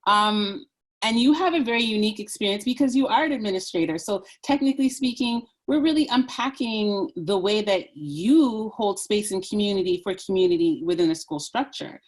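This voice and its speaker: A woman moderating a panel discussion, talking in an animated, chatty way with many fillers. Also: mid-range voice, normal speed.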